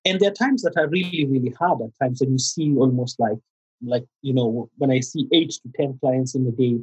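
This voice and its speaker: Husky-voiced Kenyan man, halting speech. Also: mid-range voice, muffled recording. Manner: halting, many fillers and restarts. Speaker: guest therapist. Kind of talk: conversational interview.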